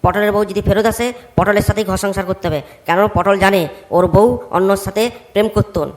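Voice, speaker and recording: A man's voice: high-pitched, steady tone, moderate pace, close to an everyday voice. A man voicing a blunt village man in a comedy cartoon, scolding and judging his neighbour in crude words. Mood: firm.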